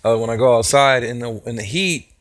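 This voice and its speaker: A man with a hoarse voice from a cold, talking casually to his viewers as a channel host, rambling with many fillers and restarts. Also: mid-range voice, a little fast, a bit bright. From Texas. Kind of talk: casual talk to camera.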